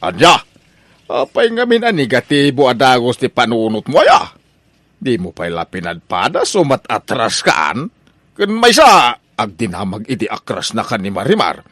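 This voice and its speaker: A man's voice: mid-range voice, huge pitch swings, moderate pace, fairly bright tone, no room sound. A man with an Ilocano Filipino accent playing an exasperated, nosy father in a comedy radio drama, full of stammered exclamations. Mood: pushy, scolding.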